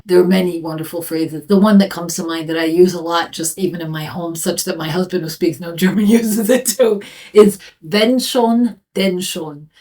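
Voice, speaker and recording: A woman's voice: on the low side, steady tone, moderate pace, a little mellow, distant mic, soft background music. A woman in her fifties, a professor, answering interview questions thoughtfully with frequent ums and restarts. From United States. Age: 50s.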